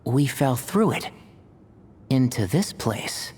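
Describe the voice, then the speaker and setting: A man's voice: medium pitch, sing-song, slow, a little mellow, slight background noise. A man voicing a sensible, precise young scholar in a video game, matter-of-fact and explanatory. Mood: practical, calm. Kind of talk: video game character acting.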